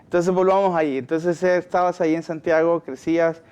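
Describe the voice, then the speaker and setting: A man's voice: fairly high pitch, fairly even intonation, a little mellow, clear, resonant tone. Playful male podcast co-host. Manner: jokey, playful, quick to laugh. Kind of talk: casual podcast chat and prayer.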